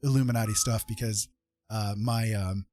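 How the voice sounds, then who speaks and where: A man's voice: lowish voice, fairly even intonation, moderate pace, dark tone. A man hosting a livestream in casual chat with viewers, effusive with his thanks. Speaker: livestream host. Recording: music playing behind the voice. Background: music.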